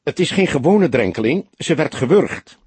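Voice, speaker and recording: A man's voice: a little high, very animated, normal speed, a little mellow, slightly rough, no room sound, pitched above the performer's own voice, exaggerated voice. A man voicing a young male police detective in an audiobook, hesitant, stammering and apologetic, anxiously pleading with his mentor. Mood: anxious, eager.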